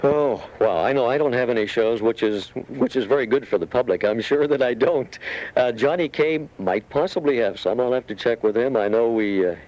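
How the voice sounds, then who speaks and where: A man's voice: mid-range voice, normal speed, a little mellow, poor audio. An older man, a radio veteran appearing as a guest, telling anecdotes in a talk show conversation. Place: radio studio.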